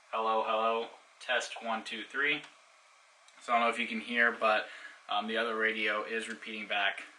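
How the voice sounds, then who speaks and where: A man's voice: lowish voice, sharp tone, slight background noise, poor audio. A man giving a casual hands-on product demonstration in an informal, explanatory way. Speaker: product reviewer.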